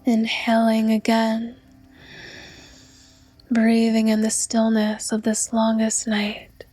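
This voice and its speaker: Female meditation guide: breathy and soothing, very flat monotone melody, unhurried slow pacing. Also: fairly high pitch, no room sound, faint music behind. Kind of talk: guided yoga nidra meditation narration.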